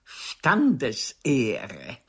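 An actress playing a brash, sharp-tongued stage diva in a radio play, blunt and theatrical in her delivery. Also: a bass voice, huge pitch swings, very slow, slightly rough, heavily acted. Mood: scornful. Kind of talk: German radio play acting.

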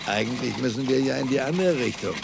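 A man's voice: mid-range voice, quick pace, creaky, close to an everyday voice. An actor playing a friendly village cart driver in a radio drama, chatting and calling out to his horse. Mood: reflective, kindly. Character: friendly rural cart driver, an uncle to children. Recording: noisy outdoor ambience of a cart ride.

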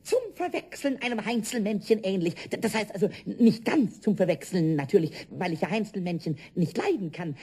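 An actor voicing a small, childlike, mischievous male kobold in a German children's radio play, squeaky and scratchy, stammering and pleading excitedly. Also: brisk, few pauses, slightly rough, full character voice. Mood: delighted. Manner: stammering, breathless repetitions.

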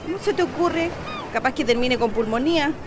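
An actress playing a fussy, complaining wife in a radio drama, with a Chilean accent and an exasperated, grumbling manner. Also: female voice, high voice, fairly quick, crowd noise, lightly acted. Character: fussy, complaining, easily worried well-to-do wife Inés. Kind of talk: Spanish-language radio drama acting. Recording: noisy outdoor ambience with bird calls.

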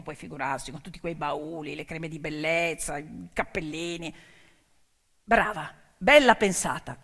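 A woman voicing a condescending male newspaper boss in a stage story, hushed and dismissive toward women. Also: husky, long reverb tail. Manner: low, hushed, patronising. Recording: piano music behind the voice.

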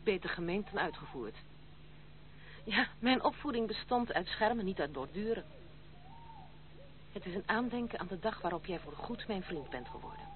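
A woman's voice: medium pitch, expressive, moderate pace, pauses often, close to an everyday voice. An actress playing a proud, stern young woman in a Dutch radio drama, speaking formally and resolutely. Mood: composed. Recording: tinny, noisy old radio play recording.

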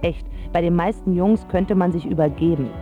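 An actress playing a spoiled, smitten teenage girl who narrates a radio drama in a wry, confiding way with dramatic pauses. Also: lowish voice, narrow, tinny audio, faint music behind, close to an everyday voice. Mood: confiding, scornful. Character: spoiled rich teenage girl, smitten, sharp-tongued about boys.

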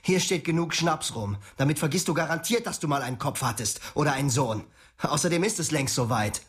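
An actor playing a tormented young husband in a radio drama, bitter and accusing. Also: male voice, on the high side, brisk, husky. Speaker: Daniel, grieving husband. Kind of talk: German radio drama acting.

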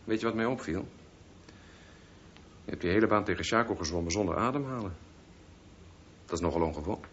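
Slightly hissy, dull radio drama sound carries a deep male voice. a down-to-earth pool supervisor, fatherly and plain-spoken. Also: brisk, a bit bright. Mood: friendly, curious. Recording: dull, faintly noisy radio play recording.

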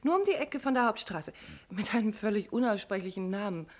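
A woman plays an observant, affectionate detective's wife in a 1950s radio drama. Her voice sits at a middle pitch with a soft huskiness. She speaks in a chatty, confiding way, as if sharing what she has noticed. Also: animated, fairly quick, close to an everyday voice. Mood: gossipy, delighted. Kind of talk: 1950s German radio play acting.

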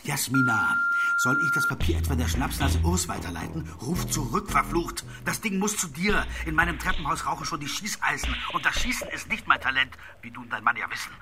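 Actor as agitated guy in a radio play, cursing n pleading, impatient, loud music underneath. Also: fairly high pitch, lively intonation, a little fast, lightly acted. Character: agitated, cursing man urgently pleading by night. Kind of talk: radio drama acting.

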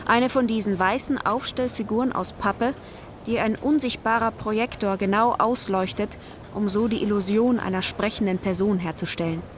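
A woman's voice: mid-range voice, normal speed, lightly acted. A woman narrating a radio drama in a scripted, literary style. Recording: clear urban outdoor ambience noise behind.

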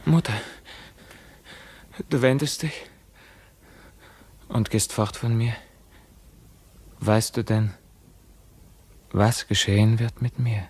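An actor playing a tormented, bewildered young prisoner in a radio play, speaking in a halting, declamatory way. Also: male voice, on the low side, darkish tone, slightly rough, heavily acted. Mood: fearful, solemn. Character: tormented imprisoned young man, feverish, bewildered, brooding. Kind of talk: classic German radio play acting.

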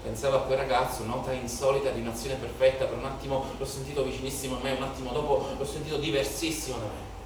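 A man's voice: medium pitch, flat delivery, quick pace, hardly any pauses, close to an everyday voice. A male stage actor playing an impassioned, haunted young protester in a declamatory theatrical monologue, with incantatory repetition. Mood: brooding, reflective. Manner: declamatory, incantatory repetition, swelling from hushed to shouted. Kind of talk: theatrical dramatic monologue in Italian political theatre. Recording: very echoey theatre, distant mic, noisy.

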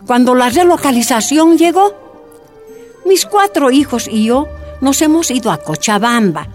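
A woman's voice: high-pitched, expressive, a little slow, a little mellow, soft background music. An actress playing a plainspoken Bolivian miner's wife and mother, giving first-person testimony in a radio drama. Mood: sorrowful recollection. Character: miner's wife recalling hardship, mother of four.

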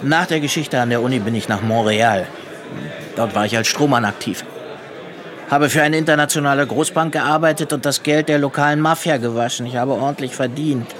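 An actor playing a hunted young money launderer in a radio drama, guarded but bold and matter-of-fact. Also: male voice, medium pitch, a little fast, crowd noise, close to an everyday voice. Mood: uneasy, confiding.

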